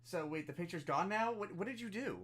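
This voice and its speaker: Bright, forceful man improvising in a game. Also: high voice, expressive, fairly quick. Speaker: tabletop game player. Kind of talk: casual in-character improv banter.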